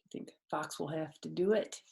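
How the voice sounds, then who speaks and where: A woman voicing a brisk, insistent mother fox in a picture book, firm and bossy. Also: sits low, vocal fry, a little mellow, voice pushed down.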